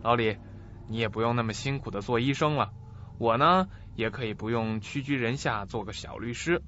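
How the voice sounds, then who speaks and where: A man's voice: medium pitch, normal speed, fairly bright tone, dull audio, slight background noise, lightly acted. A man playing a greedy, crooked lawyer in a suspense radio play, with an oily, ingratiating manner. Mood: gloating. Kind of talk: audio suspense drama acting. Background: music.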